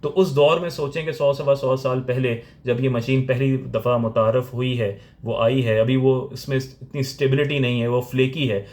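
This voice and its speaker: A man's voice: mid-range voice, steady tone, moderate pace, few pauses, a little mellow. A man with a Pakistani accent explaining religious points step by step, like a teacher giving a lecture.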